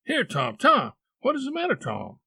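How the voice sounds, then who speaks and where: Male reader as gullible young boy, high voice, pleading, alarmed, storybook character, dry sound. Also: huge pitch swings, normal speed, creaky, bright, forceful tone, slightly rough, dry recording, full character voice. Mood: puzzled, urgent. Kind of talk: audiobook character reading.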